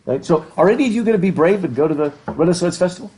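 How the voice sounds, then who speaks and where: A middle-aged man lectures a classroom in front of a blackboard, his mellow voice thick from a stuffed up nose. He jokes, laughs and asks the students questions as he goes. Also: high voice, animated, racing, low-quality recording. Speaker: professor. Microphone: laptop webcam.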